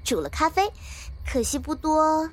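A woman acting a kind, brave young survivor in a zombie apocalypse audio drama, earnest and expressive. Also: high voice, a little slow. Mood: friendly.

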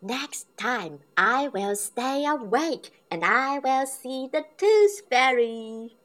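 A bright, squeaky little piglet girl from a storybook, eager and full of wonder, as a woman reads her aloud. She talks slowly, with a voice that climbs and dips. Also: expressive, full character voice. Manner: high, eager, childlike. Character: small excitable girl piglet.

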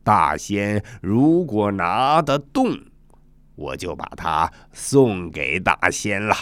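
A stately old dragon king of a storybook sea palace, voiced by a man. The pitch sits low and the words come slowly, with measured, hesitant dignity. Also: very animated, a bit bright, dry recording, exaggerated voice. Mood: solemn.

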